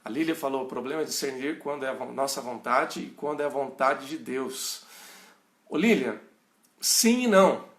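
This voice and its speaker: A man's voice: mid-range voice, animated, moderate pace, reverberant. A middle-aged pastor with a rural São Paulo and Minas Gerais Brazilian accent, teaching informally and often checking in with his listeners. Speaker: pastor teaching a Bible study. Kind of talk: informal teaching answering viewers' questions.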